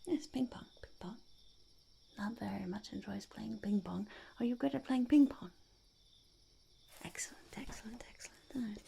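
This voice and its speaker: A woman voicing an eccentric, self-important goblin conducting a whispered ASMR interview, halting and fussy, with a creaky, gravelly voice. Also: on the high side, dark tone, heavily acted. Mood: probing, quizzical. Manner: halting, fussy, self-repeating, refers to self in third person. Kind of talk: ASMR roleplay, whispered and soft-spoken interview.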